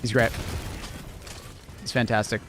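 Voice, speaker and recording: A man's voice: mid-range voice, flat delivery, measured pace, a bit bright, a little hiss or hum. A young Canadian man with a mild, near-American accent, chatting casually on a live stream in a loose, rambling way with lots of fillers. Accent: Canadian, close to American. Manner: laid-back, rambling, lots of fillers, chuckles.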